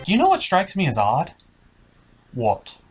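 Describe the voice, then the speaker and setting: A man's voice: a little high, huge pitch swings, measured pace, husky, faint music behind, exaggerated voice. A man voicing a puppet butler character in scripted comic banter, formal, exasperated and full of questions. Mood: puzzled. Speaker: sidekick in puppet review show.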